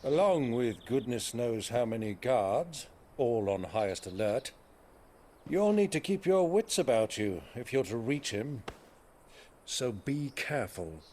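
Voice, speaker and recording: A man playing a cautious, gentlemanly older uncle in a video game cutscene, with measured, formal speech. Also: mid-range voice, lively intonation, a little hiss or hum, natural voice. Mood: cautionary.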